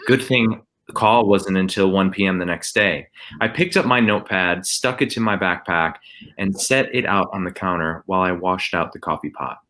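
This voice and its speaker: A man's voice: sits low, moderate pace, sharp tone. A man reading a first-person story aloud as scripted narration.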